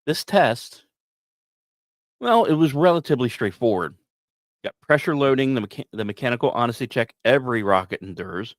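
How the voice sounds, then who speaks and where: A man hosting a podcast, reading scripted narration with casual asides in a storyteller's manner, with dramatic pauses and emphasis. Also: medium pitch, lively intonation, no room sound, clean studio sound. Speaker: podcast host.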